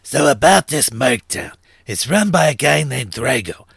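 Guy doing a scrawny sly street fence for a story, raspy and sharp, chummy gangster talk, self-assured. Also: medium pitch, expressive, unhurried, no room sound, studio quality, heavily acted. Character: short, thin, sly male underworld fence and information broker. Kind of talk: acted character dialogue in a narrated story.

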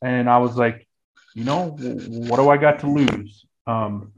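Man, early forties, American accent, casual storytelling, halting with repeated phrases, mid-range pitch, slightly muffled recording. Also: creaky, slight background noise. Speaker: guest, real estate agent.

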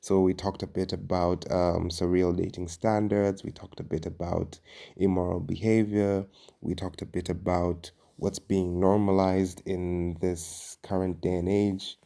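A young man hosts a casual solo podcast. His voice is very low and dark, with a heavy creak at the edges. He speaks informally, hesitating and wandering as he works toward his point. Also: level tone, a little slow. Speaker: podcast host.

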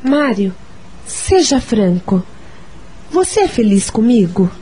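A woman's voice: fairly high pitch, sing-song, slow, mellow, slight background noise, low-quality recording. An actress playing a frank, wounded but caring wife and mother in a radio soap opera, with a Brazilian accent. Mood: hurt, insistent.

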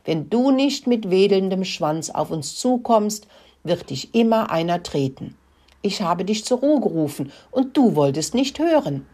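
A woman's voice: medium pitch, sing-song, measured pace, pitched above the performer's own voice. A woman voicing a stern, exasperated farm girl in a children's story. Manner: scolding, shouting.

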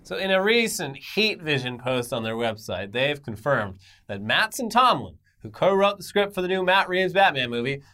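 A man's voice: a little high, sing-song, moderate pace, bright, forceful tone. A man co-hosting an entertainment news show with conversational commentary and wry, sardonic asides.

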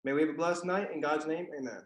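Higher-voiced man praying in echoey room. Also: fast talker. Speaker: prayer leader. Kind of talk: spoken prayer.

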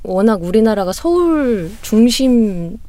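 A woman co-hosting a casual talk podcast, reflecting slowly and deliberately, often hedging her points. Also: on the high side, big pitch swings, warm tone. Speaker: podcast co-host. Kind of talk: casual chat.

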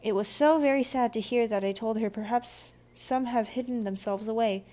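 A woman's voice: fairly high pitch, moderate pace, mellow, lightly acted. Woman playing a kind, earnest young girl. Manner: earnest, friendly. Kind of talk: children's audiobook character dialogue.

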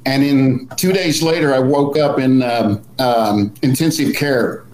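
A man's voice: mid-range voice, a little slow, darkish tone. A man in his sixties chatting casually on a live stream, telling his own story in a plainspoken, folksy way over a video call. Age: 60s. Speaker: co-host. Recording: roomy echo with faint background hum.

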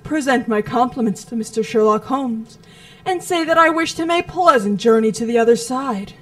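A woman's voice: on the high side, animated, natural voice. Woman playing a cold, commanding criminal mastermind. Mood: cold, menacing. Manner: imperious, deliberate, menacing. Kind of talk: radio drama acting.

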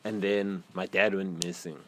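A young South African man with a South African accent, hosting a podcast and talking in a relaxed, rambling way full of slang. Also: sits low, normal speed. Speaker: podcast host. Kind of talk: casual interview chat. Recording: faint background noise.

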